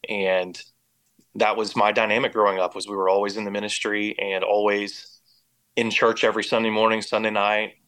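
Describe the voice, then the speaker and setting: American man, low even voice. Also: normal speed, clean tone. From Indiana and Oklahoma, United States. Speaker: podcast guest. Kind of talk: personal testimony in an interview.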